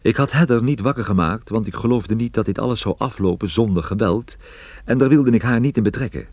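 An actor playing a private detective who recounts his case in a measured, observant way, as first-person radio play narration. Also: fairly low pitch, a little mellow, dry recording, natural voice. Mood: calm suspense. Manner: measured, descriptive storytelling.

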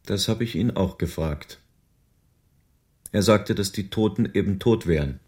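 A man's voice: low voice, measured pace, a little mellow. An actor playing a sober, world-weary male private detective in a radio crime drama, explaining things patiently. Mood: sober concern.

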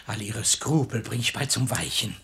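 An actor playing a sly, pious hypocrite and seducer in a German radio play, speaking rhymed verse in an unctuous, insistent, theatrical way. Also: male voice, medium pitch, moderate pace, vocal fry, a bit bright. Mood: sly, seductive.

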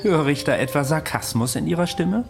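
An actor playing an eccentric, self-assured psychiatric patient who lectures insistently in a radio drama. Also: male voice, a little high, expressive, brisk, vocal fry, over music. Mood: challenging, smug. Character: eccentric male psychiatric patient, insistent conspiracy storyteller, cocky. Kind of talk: German radio drama acting.

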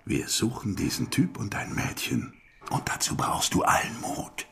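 Gravelly knowing con man, radio crime drama, slangy and needling, voice jumps around a lot. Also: on the low side, big pitch swings, unhurried, fairly bright tone, a little hiss or hum, heavily acted. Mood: coaxing. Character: streetwise, cynical male hustler, scheming brother.

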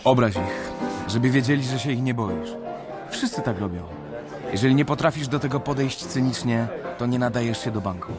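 An actor playing an older, worldly analyst giving cynical advice in a radio drama, over music. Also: male voice, mid-range voice, normal speed, close to an everyday voice.

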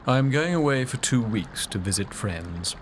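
A man's voice: mid-range voice, lively intonation, normal speed, close to an everyday voice. A man playing a cold, stern, dutiful man in an audiobook, commanding and insistent, with faint noise behind him. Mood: cold, pressing. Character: cold, stern, dutiful clergyman demanding marriage.

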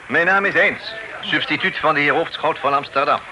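An actor playing a pompous, easily flustered deputy sheriff who talks ornately and officiously, with French words mixed in. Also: male voice, fairly high pitch, a little fast, sharp tone. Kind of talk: radio play acting. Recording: noisy old radio drama recording.

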